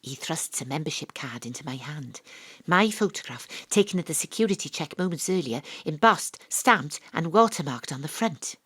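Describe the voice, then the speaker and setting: Low-voiced wry woman detective. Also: expressive, normal speed, a bit bright, husky, heavily acted. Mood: wry, confiding. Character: wry woman detective narrating her case, ex-rugby player. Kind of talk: audio drama first-person detective narration and dialogue.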